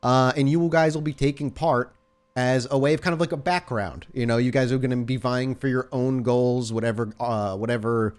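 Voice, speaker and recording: A man's voice: medium pitch, normal speed, a little mellow, clear, resonant tone. Man hosting a role-playing game podcast. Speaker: podcast host and game storyteller. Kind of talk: casual podcast chat, then slow scene narration for a role-playing game.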